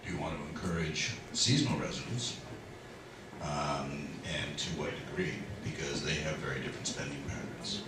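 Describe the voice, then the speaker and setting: A man's voice: a bass voice, moderate pace. A man, a planning consultant, explaining figures at a public meeting in a loose, explanatory way with fillers. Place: community hall. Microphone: distant room mic. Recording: echoey hall from far off, noisy.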